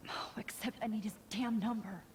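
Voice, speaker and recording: A woman's voice: a little high, fairly even intonation, normal speed, slight background noise, close to an everyday voice. A woman playing an introspective, determined teenage student in a video game, talking as if thinking to herself. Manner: hushed inner monologue.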